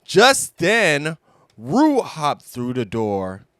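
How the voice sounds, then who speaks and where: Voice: mid-range voice, wildly swooping pitch, very slow, a bit bright, no room sound, clean studio sound. A storyteller reading a scripted children's story aloud.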